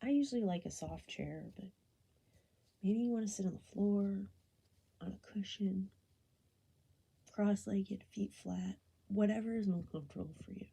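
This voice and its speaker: A woman guiding a body scan meditation, speaking softly and gently in an unhurried, soothing way, often slipping toward a whisper. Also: mid-range voice. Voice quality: soft, breathy, often falling into a whisper. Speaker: meditation guide.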